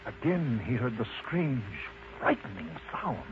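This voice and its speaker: A man narrating a scripted children's story in an expressive, dramatic way. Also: medium pitch, measured pace. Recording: muffled lo-fi audio with clear noise.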